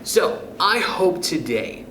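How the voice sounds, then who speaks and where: A fairly high-voiced man reads a children's picture book aloud slowly and deliberately, his pitch swinging widely as he plays with each line. He chuckles between passages. The room around him sounds echoey. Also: sing-song, sharp tone, slight background noise. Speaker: youth club storyteller.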